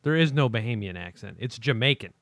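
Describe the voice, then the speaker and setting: A man's voice: mid-range voice, animated, a little fast, fairly bright tone, no room sound. A man playing a laid-back island dude who drawls "man" and "dude" in a joking put-on accent. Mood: mellow.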